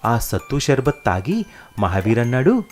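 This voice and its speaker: Lively storybook narrator with music behind. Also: medium pitch, normal speed. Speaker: narrator of children's moral stories.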